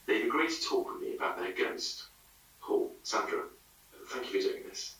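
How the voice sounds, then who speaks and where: A man's voice: low-pitched, sing-song, moderate pace, gravelly, fairly bright tone, exaggerated voice. A man playing a polite, hesitant paranormal researcher conducting a probing interview in an audio drama. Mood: formal. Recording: very echoey room, distant mic.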